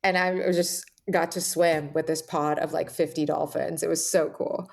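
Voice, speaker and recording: A woman's voice: deep voice, little pitch movement, normal speed, creaky. A woman speaking as a podcast guest, telling stories in a casual, conversational way.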